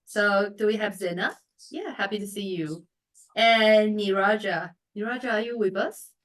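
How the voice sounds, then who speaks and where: A woman's voice: medium pitch, moderate pace, a bit bright. A woman hosting an online event over a video call, polite and informal as she organizes things aloud. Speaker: event moderator.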